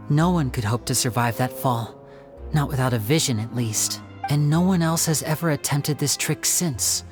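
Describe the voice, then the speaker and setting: A woman playing a poised stage magician in a video game, speaking in a theatrical, measured, confiding way. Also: a bass voice, music in the background. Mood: grave. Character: poised female stage magician explaining tricks and clues. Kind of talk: scripted video game voice acting.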